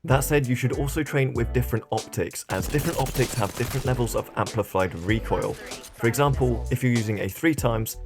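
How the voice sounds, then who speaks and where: A man with a croaky, hoarse voice, giving a brisk tutorial voice-over with self-mocking asides. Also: on the low side. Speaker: gaming YouTuber.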